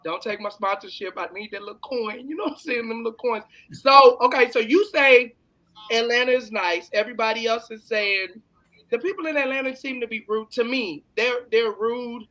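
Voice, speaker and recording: A man with a Texas accent hosts a casual live show. His voice is bright and forward, and he chats in a slangy, bantering way. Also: very high-pitched, moderate pace, poor audio. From California, long settled in Texas. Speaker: talk show host. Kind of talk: casual live-stream interview chat.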